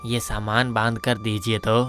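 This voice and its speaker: A man's voice: fairly low pitch, flat delivery, measured pace, over music, lightly acted. A man playing a polite, loyal older shop customer in a moral-story cartoon.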